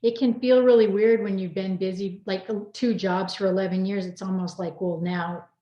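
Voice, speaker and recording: A woman's voice: medium pitch, fairly even intonation, moderate pace. Upbeat woman host in an echoey room. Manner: upbeat, chummy, affirming. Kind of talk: casual group chat interview. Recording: echoey, dull video-call audio.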